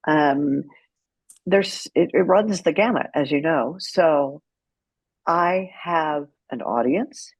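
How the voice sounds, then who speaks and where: A woman's voice: low-pitched, slow, slightly rough, no echo. A woman speaking as an interview guest, telling her story in a candid, outspoken and emphatic way. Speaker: guest, author and caregiver advocate.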